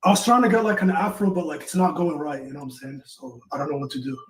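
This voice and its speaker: A man in his twenties from Somalia asks for advice in a fairly high voice. He confides in casual slang, and his sound is very echoey. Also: fairly even intonation, fast talker, a crowd in the background. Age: 20s. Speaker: caller asking for advice.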